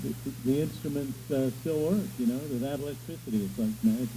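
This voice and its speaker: A man who grew up in Arkansas, a musician guest speaking in an easygoing, halting way on a radio show. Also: medium pitch, mellow, slight background noise, poor audio. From Virginia and Arkansas, USA. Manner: easygoing, hesitant.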